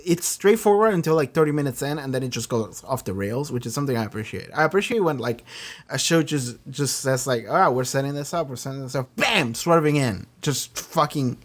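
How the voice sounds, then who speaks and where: A man talking casually and off the cuff as a reaction host, rambling in an animated way with frequent swearing and acted-out asides. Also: mid-range voice, a little fast. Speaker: reaction video host and streamer. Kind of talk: casual off-the-cuff episode discussion.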